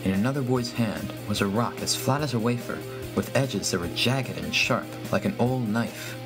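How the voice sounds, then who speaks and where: A man's voice: medium pitch, moderate pace, music in the background. A man reading a scripted first-person horror story as its narrator.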